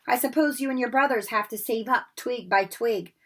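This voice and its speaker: A woman's voice: a little high, moderate pace, a bit bright, recorded from a distance. A woman reading aloud, voicing a spiteful, arrogant schoolboy bully with a sneering manner.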